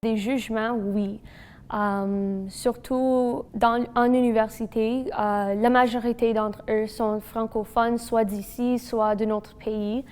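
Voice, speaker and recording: Flat, slow woman, immersion French accent. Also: a little high, big-room sound, faint music behind. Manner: hesitant, flat, uses many "comme" fillers. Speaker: university student. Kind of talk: testimonial talk.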